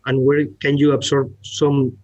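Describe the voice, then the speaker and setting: A man with a Spanish accent explains technical matters haltingly, restarting words as he goes. His pitch is average, and the sound is dry and somewhat muffled, like a lo-fi online call. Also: monotone, a little mellow, dry recording. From Spain. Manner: halting, searching for words with repeated starts. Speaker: R&D director, podcast guest. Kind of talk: technical presentation and discussion.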